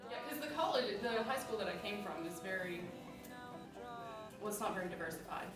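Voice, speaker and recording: A woman's voice: medium pitch, lively intonation, brisk. A young woman, a former student, talks casually and reflectively about her school years. She sounds distant in an echoey room, with guitar music behind her. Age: young adult. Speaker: alumna. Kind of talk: interview. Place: classroom with windows.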